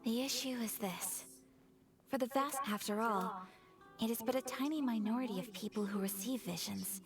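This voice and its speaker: A woman's voice: a little high, normal speed, over music, natural voice. A woman voicing a refined, courteous young noblewoman in a video game, speaking with formal, poised politeness. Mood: composed, serious.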